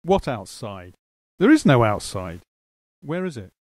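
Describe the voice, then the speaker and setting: A man's voice: medium pitch, very animated, unhurried, dry recording, studio quality. Man playing an irritable, sarcastic radio drama character, music behind. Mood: impatient, questioning. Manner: sarcastic, exasperated. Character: irritable, sarcastic man bossing his talking machine. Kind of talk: amateur radio drama acting.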